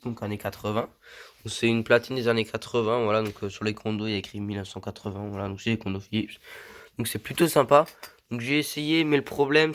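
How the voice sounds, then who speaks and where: Hoarse man rambling through his finds. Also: medium pitch. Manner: rambling, many fillers like voilà and euh. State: hoarse from a cold. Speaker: lighting collector vlogger. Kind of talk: casual show-and-tell vlog.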